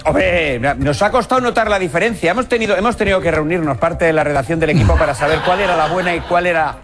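Spanish guy talking fast, high voice, teasing, music loud behind. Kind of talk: casual teasing talk.

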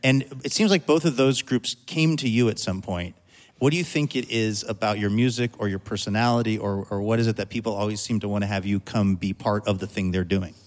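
A man's voice: fairly low pitch, a little fast. A man hosting a music show, introducing a guest and asking long, rambling, friendly questions. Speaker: radio show host. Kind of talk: interview hosting.

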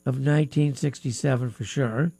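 A man's voice: medium pitch, steady tone, moderate pace, creaky, dark tone, slightly rough, no echo. A man hosting a live radio music talk show from a studio, with a stuffy nose and a rambling, filler-heavy, chatty way of talking.

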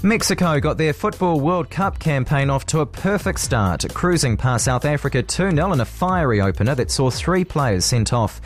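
A New Zealand man reads a scripted radio news bulletin in a fairly high voice. Light music plays behind him. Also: lively intonation, normal speed, hardly any pauses. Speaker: radio newsreader.

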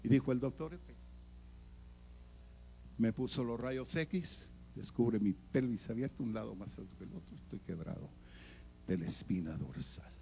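An older male evangelist delivers a personal testimony from the pulpit. His voice sits at an ordinary pitch for a man. He moves from loud, emphatic bursts to hushed, almost whispered lines, and a faint hum sits under the recording. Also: animated, unhurried, creaky, long pauses. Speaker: evangelist preacher. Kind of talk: sermon testimony.

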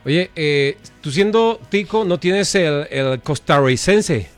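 A male interviewer asks questions in a playful, curious way and laughs easily. His voice is a bit high, with faint music in the background. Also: expressive, takes it slowly. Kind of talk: casual interview chat.

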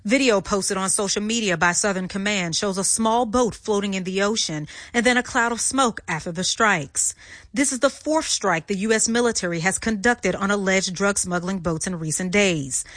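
Female news correspondent, mid pitch, factual scripted read, dry sound. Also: normal speed, dry recording. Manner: steady, factual reporting.

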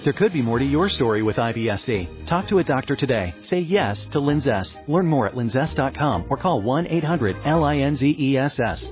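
Smooth man reading a drug ad. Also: medium pitch, animated. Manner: smooth, measured, reads fast disclaimers. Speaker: ad announcer. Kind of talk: scripted drug commercial voice-over. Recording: lo-fi radio with faint music.

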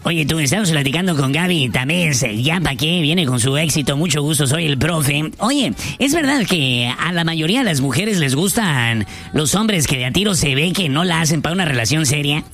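A man's voice: fairly high pitch, lively intonation, moderate pace, hardly any pauses, a bit bright, faint music behind. A male radio co-host greeting the guest and asking her friendly, conversational questions. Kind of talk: radio interview.

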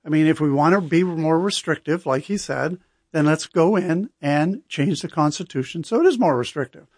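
A man co-hosting talk radio in a dry studio, his voice a touch higher than most men's. He explains his view firmly but stays agreeable, trying to find common ground. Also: expressive, normal speed, a little mellow, no echo. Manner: conciliatory but firm, explaining. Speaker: radio co-host. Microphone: studio microphone.

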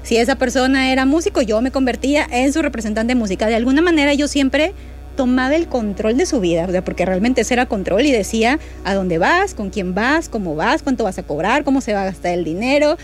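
A woman's voice: a little high, lively intonation, brisk, soft background music. Woman recounting a past relationship. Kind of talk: personal testimony.

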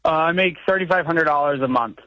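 A man in his early twenties talking haltingly and conversationally as a radio show caller. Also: fairly high pitch, no echo, dull audio. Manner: hesitant, halting. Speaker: phone-in caller. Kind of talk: conversational call-in.

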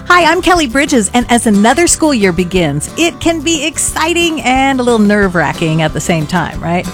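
A woman talking through a radio commercial in a conversational, personal way. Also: medium pitch. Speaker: ad spokesperson.

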